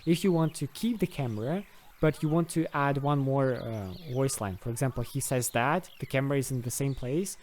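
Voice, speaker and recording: Guy doing an off the cuff screen tutorial, mid pitched, kinda hesitant, thinking out loud, noisy recording. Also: normal speed, few pauses. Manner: hesitant, thinking aloud step by step. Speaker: host of a video game modding tutorial.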